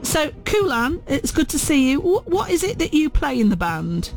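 Male radio interviewer, casual and enthusiastic, highish varied pitch, light music bed. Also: huge pitch swings, normal speed, creaky. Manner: enthusiastic, encouraging.

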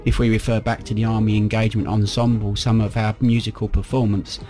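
This man, who leads a youth band, answers questions in a lowish voice. He speaks with warm enthusiasm and restarts a few of his sentences. A little music sits behind him. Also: level tone, fairly quick. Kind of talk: casual interview answers.

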